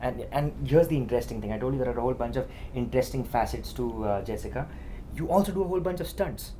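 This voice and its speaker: A woman hosts a talk show with a very low, dark, mellow voice and a brisk pace. She leads her guest with eager questions padded with fillers. A faint room hum sits under her. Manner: eager, leading questions, many "you know" fillers. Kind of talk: interviewing a guest.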